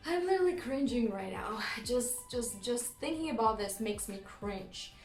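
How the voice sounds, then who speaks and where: A young woman whose first language is Romanian tells her story in English with a noticeable Romanian accent. Her voice sits high, and she chats easily, dropping in ums and small laughs. The room rings with echo, and soft music plays underneath. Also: lively intonation, normal speed. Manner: chatty, laughs often, frequent ums. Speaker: YouTuber. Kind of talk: casual storytime vlog.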